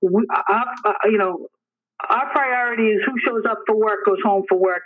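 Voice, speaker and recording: Male emergency services leader, high voice, earnest and emphatic interview answers, narrow tinny phone-line sound. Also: normal speed. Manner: earnest, emphatic, repetitive phrasing. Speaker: emergency dispatch deputy superintendent. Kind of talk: interview answers, informal explaining.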